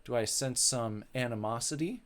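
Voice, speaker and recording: A man voicing a stern, skeptical woman interviewer from a visual novel, measured and probing in manner. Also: mid-range voice, fairly bright tone, natural voice. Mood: skeptical, reproving.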